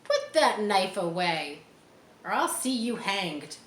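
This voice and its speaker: A woman's voice: medium pitch, big pitch swings, slow, fairly bright tone. A woman voicing a stern, fearless male village doctor in a storybook, speaking in firm, admonishing warnings. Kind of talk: storybook dialogue read aloud. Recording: roomy echo, faint background noise.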